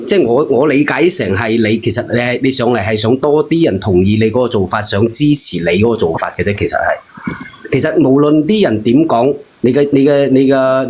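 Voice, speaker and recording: A man giving casual advice on a call-in show, reasoning point by point in a measured way. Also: mid-range voice, darkish tone, breathy edge.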